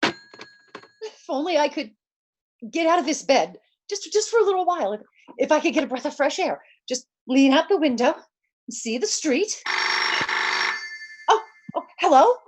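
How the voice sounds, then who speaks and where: A woman's voice: high-pitched, sing-song, moderate pace, long pauses. A woman playing a nervous, demanding bedridden lady in an old-time radio suspense play, stammering, fretful and indignant. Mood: agitated.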